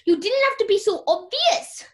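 High, animated radio-play villainess played by a young woman, a polished society lady who takes charge and schemes. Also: moderate pace, exaggerated voice. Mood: stern, conspiring. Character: Lady Eleanor, commanding, scheming society lady hiding her crimes.

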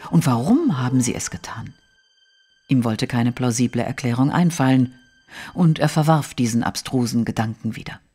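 A man narrating a detective audio play in a measured, literary, suspenseful way. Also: a little high, a little mellow, close to an everyday voice. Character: storytelling narrator of a mystery.

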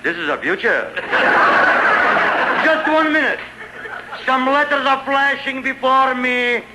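An actor playing a fake mind-reading swami who fires off brash punchlines in a 1950s radio comedy. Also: male voice, very high voice, expressive, takes it slowly, full character voice. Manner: punchline delivery. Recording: very noisy old radio broadcast.

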